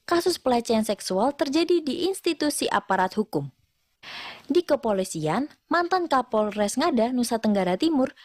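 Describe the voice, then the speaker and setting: Woman, slightly high pitch, news reporter, scripted voice-over narration. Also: a little mellow, dry recording, clean studio sound.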